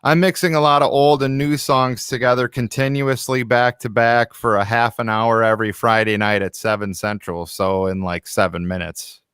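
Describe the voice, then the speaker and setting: A man with a clear, smooth voice talks casually as a guest on a live stream. His delivery rambles a little and hesitates, at a middling pitch, and the sound is dry and close. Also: fairly even intonation, moderate pace, few pauses, a bit bright, dry recording. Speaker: musician guest.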